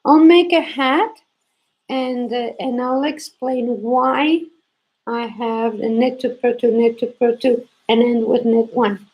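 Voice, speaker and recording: A woman with a sore throat and congestion, hosting a casual live knitting lesson and explaining stitches slowly, with frequent restarts. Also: a little high, steady tone, highs cut off. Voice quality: gravelly, husky. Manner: slow, repetitive explaining with restarts.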